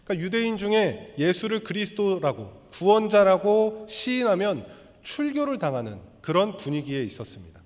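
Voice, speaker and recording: A man preaching a sermon as pastor, his voice hoarse, speaking in a measured, explanatory way and leading the congregation through a reading. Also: high voice, sing-song. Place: church sanctuary. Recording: long hall reverb.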